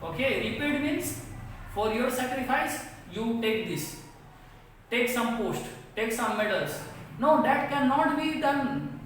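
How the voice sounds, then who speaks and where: A woman's voice: a little high, expressive, slight background noise. A woman teacher with an Indian English accent, reading a textbook passage aloud to students and explaining it line by line in simple words. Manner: slow, repetitive, reads a line then paraphrases it. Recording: echoey room from far off.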